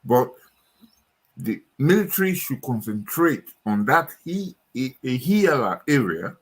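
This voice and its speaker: A man's voice: mid-range voice, very animated, unhurried, slightly rough, poor audio. A man from Nigeria speaking as a panelist, hesitant with many fillers.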